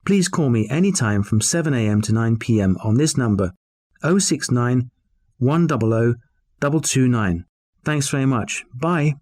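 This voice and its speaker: An actor playing a polite man leaving a phone message, spelling out names and numbers slowly and carefully. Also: mid-range voice, expressive, a little mellow, lightly acted. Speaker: caller leaving a voicemail. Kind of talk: scripted listening-test dialogue.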